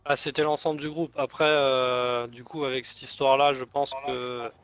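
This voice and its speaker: A man's voice: mid-range voice, flat delivery, normal speed, a bit bright, lightly acted. Man playing an easygoing gang leader, muffled chat. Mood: conciliatory. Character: cooperative male street gang leader, respectful and easygoing. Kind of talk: improvised online game roleplay. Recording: dull lo-fi game voice chat.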